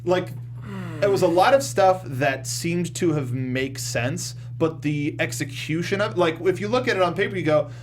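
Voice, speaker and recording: A man's voice: a little high, moderate pace, a little hiss or hum. A man hosting a casual film review discussion, speaking informally and emphatically with the odd mild swear. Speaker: show host.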